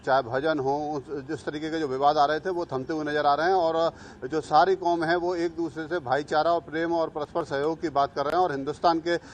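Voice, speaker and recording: A man giving an unscripted live news report, halting and long-winded as he searches for words. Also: fairly high pitch, steady tone, a little hiss or hum.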